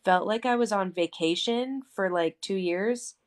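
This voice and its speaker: A woman's voice: mid-range voice, unhurried. A woman in her late twenties talking casually, rambling and filling her sentences with "like" and "you know". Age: 20s. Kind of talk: casual chat.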